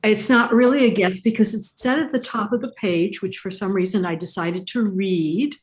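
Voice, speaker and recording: A woman's voice: medium pitch, normal speed. Woman on a zoom call musing, kinda dry humor. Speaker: congregant, synagogue president. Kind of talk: casual chat. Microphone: video call.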